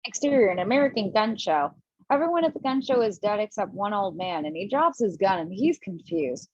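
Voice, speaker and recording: Woman, stage direction reader, casual chatty asides, mid-pitched, dry, dull lo-fi call audio. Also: lively intonation, brisk, a little mellow, husky, no echo. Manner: casual, chatty reading with asides and self-corrections. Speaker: narrator reading stage directions. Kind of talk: amateur table read narration.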